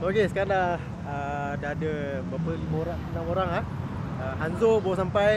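High-voiced young Malaysian man, noisy outdoors. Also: fast talker. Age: 20s. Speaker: motorcycle vlogger. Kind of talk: casual vlog chat. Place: outdoors, car parks and on a motorcycle. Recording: noisy outdoor vlog with traffic and wind.

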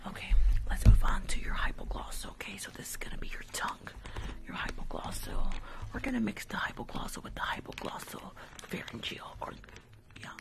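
A young woman whispering breathily in an ASMR medical roleplay, giving soft, repetitive, casual instructions close to the mic. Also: medium pitch, very animated, brisk, rough recording. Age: young adult. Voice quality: breathy whisper, mostly unvoiced. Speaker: ASMR streamer playing a doctor. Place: small room at home.

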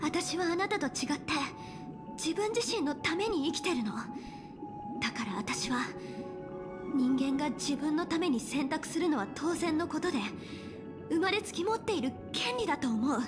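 An actress playing a gentle but resolute young woman in a video game, with soft, breathy phrasing and quiet conviction. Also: high voice, expressive, normal speed. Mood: quietly resolute. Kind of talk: Japanese video game voice acting. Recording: music under the voice.

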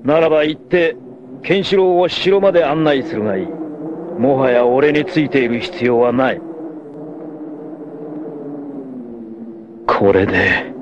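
A man playing a cocky, free-spirited, fearless martial-arts warrior in a dramatic 1980s anime, with theatrical, rough swagger. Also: mid-range voice, lively intonation, normal speed, lo-fi sound, background noise, lightly acted. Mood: solemn, resolved.

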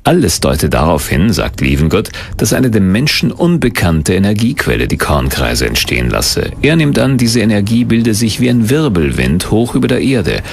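Male documentary narrator, low and gravelly, scripted delivery with animated intonation. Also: normal speed, hardly any pauses, slight background noise.

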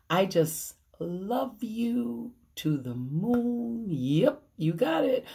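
A middle-aged woman reading a bedtime story to children, expressively, with theatrical drawn-out emphasis and dramatic pauses. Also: fairly high pitch, mellow. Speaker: pastor reading children's stories. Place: small room at home, indoors. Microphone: phone at arm's length.

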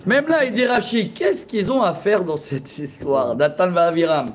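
Lively rabbi guy laughing through his stories, kinda high voice, webcam in a classroom. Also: moderate pace. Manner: animated, laughs while telling stories, gestures a lot. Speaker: rabbi teaching a Torah class. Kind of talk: lively storytelling lesson. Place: classroom with bookshelves, indoors. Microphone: laptop webcam at close range.

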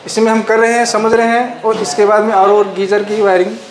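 A young male trainee gives a testimonial. His voice is notably high for a man. The indoor workshop adds clear echo. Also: monotone, a little fast, crowd noise. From Rajasthan, India. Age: young adult. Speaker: technical trainee. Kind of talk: student testimonial, recounting his experience. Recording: echoey room sound.

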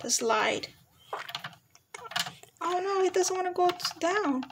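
A young woman filming at home and chatting with a child over a toy, with excited, sing-song exclamations. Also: very high voice, takes it slowly. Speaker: parent filming a toy demo. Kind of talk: playful commentary with a child. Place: living room at home. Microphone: handheld phone camera. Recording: noisy home phone video.

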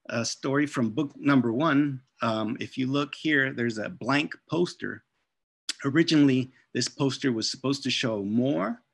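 A middle-aged man gives an informal slide talk over a video call. His medium-pitched voice has a noticeable creak, and he speaks casually with hesitant pauses. The sound is dry and close. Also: no echo. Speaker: children's book author and illustrator. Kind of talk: informal slide presentation of artwork.

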